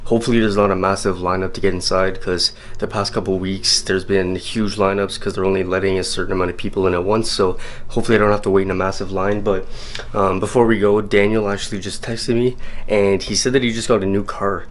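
Deep-voiced young man vlogging casually. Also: level tone, fast. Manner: easygoing, chatty, lots of "guys". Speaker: fitness vlogger. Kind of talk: casual vlog talk to camera. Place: small bedroom indoors, later a parked car.

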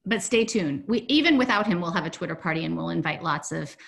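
A woman in her forties on a video call from home, presenting in a chatty, upbeat way with many fillers, her voice going hoarse. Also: fairly low pitch, lively intonation, fast talker, a bit bright. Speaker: program director presenting a webinar. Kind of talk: casual webinar presentation. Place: home room, indoors. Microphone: video call, laptop mic.

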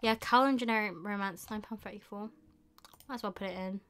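A young woman hosting a casual live stream, thinking aloud in an unhurried way with murmured asides. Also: medium pitch, steady tone, a bit bright, smooth, faint music behind. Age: young adult. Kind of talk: casual chat while shopping online. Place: small indoor room with bookshelves.

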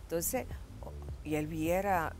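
A middle-aged Puerto Rican woman, an actress, telling stories as a guest in a TV studio interview, animated and gesturing. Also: low voice, slow pace, faint music behind. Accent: Puerto Rican Spanish. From Puerto Rico. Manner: animated storytelling with gestures, laughs and acted-out quotes. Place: TV studio set.

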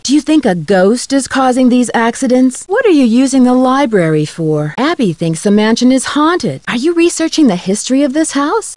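A woman's voice: on the high side, darkish tone, dry recording. An actress playing a curious, upbeat young woman detective in a video game, with an inquisitive, scripted delivery. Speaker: game protagonist.

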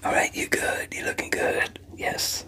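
Whispering older man, ASMR barber roleplay. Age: 50s or older. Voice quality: breathy, mostly unvoiced whisper. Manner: unhurried, reassuring, chatty to a client. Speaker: ASMR creator role-playing a barber. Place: small room at home, indoors.

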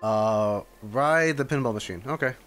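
A man's voice: mid-range voice, a little slow, a bit bright. A young man giving casual gaming commentary, reacting aloud as he plays. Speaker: streamer reacting to a game. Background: game music.

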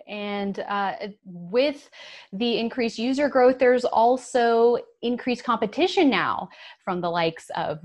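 A woman's voice: on the high side, darkish tone. A woman in her thirties hosting a business news interview from a studio, in a polished, upbeat presenting manner. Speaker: news show host.

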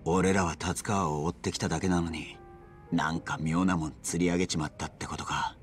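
Actor as earnest young low-voiced underling. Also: male voice, fairly even intonation, fairly quick, faint music behind, natural voice. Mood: puzzled. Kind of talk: video game drama acting.

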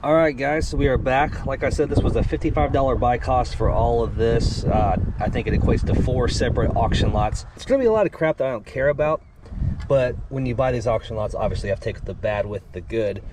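A man's voice: mid-range voice, expressive, a little fast. A man in his thirties in a casual reseller vlog, chatting in a rambling, upbeat way as he sorts through his finds. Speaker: reseller vlogger. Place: outdoors at a yard auction, then by a car trunk. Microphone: head-mounted action camera. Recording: loud background noise.

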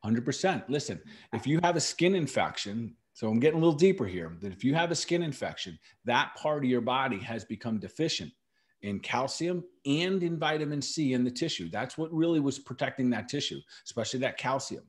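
A man's voice: mid-range voice, animated, fairly quick. A middle-aged man hosting a podcast, explaining herbal remedies in a casual, emphatic way and repeating key words for stress. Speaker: natural medicine practitioner and podcast host.

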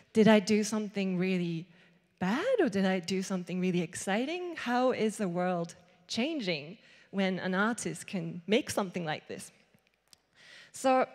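A young woman from Japan, an artist giving a conference talk into a handheld microphone, chatty, with many "like"s and restarts. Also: medium pitch. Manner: chatty, lots of likes and restarts. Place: large hall with seated audience. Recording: long hall reverb.

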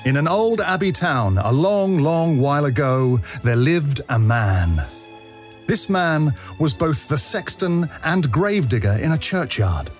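A man's voice: on the high side, very animated, a little slow, faint music behind. A man narrating an audio drama as a scripted, dramatic storyteller.

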